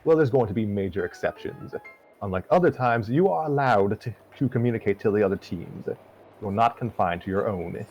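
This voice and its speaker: A man's voice: fairly low pitch, animated, fairly quick, darkish tone, dull audio, faint music behind. A man voicing a smug male cartoon trickster creature who announces contest rules, with some stumbles. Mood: explaining. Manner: expository, announcing, stumbling over words.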